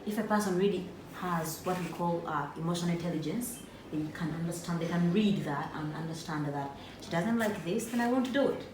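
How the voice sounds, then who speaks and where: A woman's voice: on the low side, fairly quick, few pauses. A woman, interviewing a student in casual conversation with lots of encouraging hums. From Uganda. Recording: echoey room, far from mic, background noise.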